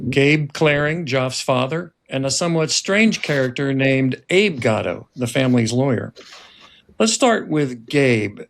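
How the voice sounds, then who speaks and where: Man, podcast host, conversational interviewer, gravelly fry in the voice. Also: mid-range voice.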